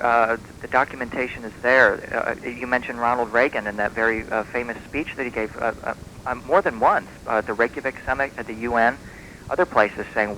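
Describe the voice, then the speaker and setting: A man, a guest author in a radio interview, explaining things in an earnest, measured and emphatic way, taped from shortwave radio. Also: medium pitch, pushed and bright, slight background noise, rough recording. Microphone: radio broadcast.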